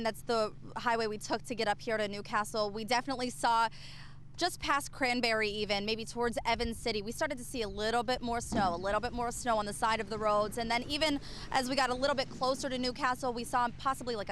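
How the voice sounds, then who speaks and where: A woman's voice: a little high, fast, fairly bright tone, a little hiss or hum. A woman field reporter delivering a live television news report.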